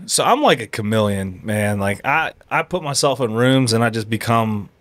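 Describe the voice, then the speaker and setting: A young man from Erie, Pennsylvania, chatting casually as a podcast guest, animated and full of dude and bro. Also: on the low side, normal speed. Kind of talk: casual chat.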